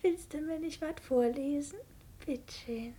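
A woman's voice: high voice, measured pace, dark tone. An actress playing a frail, sick young girl, trusting and pleading, in a 1950s radio play. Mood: anxious pleading. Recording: faintly noisy 1950s radio recording.

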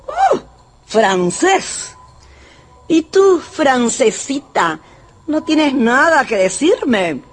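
An actress playing a sharp-tongued, rich old Russian grandmother in a radio drama, haughty, mocking and commanding. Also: high voice, wildly swooping pitch, drawn-out words, exaggerated voice.

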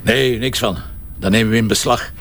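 An actor playing a blunt, cheeky police commissioner in a Flemish crime drama, speaking colloquially with a sardonic edge. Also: male voice, fairly low pitch, steady tone, normal speed, fairly bright tone. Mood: insistent, sardonic. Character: gruff, cheeky, mocking male police commissioner. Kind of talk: naturalistic Flemish radio crime drama acting.

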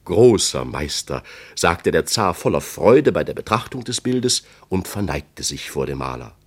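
A man with a very deep voice narrates a scripted literary tale. His intonation moves widely and vividly, as a storyteller does in a radio play. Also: big pitch swings, moderate pace. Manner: storyteller, acting out quoted speech.

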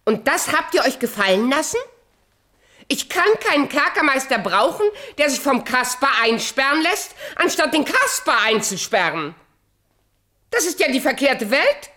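A woman's voice: high-pitched, sing-song, normal speed, pushed and bright, exaggerated voice. An actress playing a vain, murderous, imperious queen in a radio play, theatrical, commanding and volatile in manner. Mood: imperious, angry.

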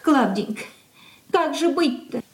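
Fretful, high-voiced older mother. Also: lively intonation, takes it slowly. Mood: anxious, helpless. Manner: plaintive lamenting with folksy diminutives. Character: anxious, submissive older mother, fretting and pleading. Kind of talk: Russian stage-style radio drama acting.

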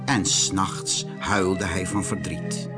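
A man narrating a children's storybook in an expressive, deliberate manner. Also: deep voice, music in the background.

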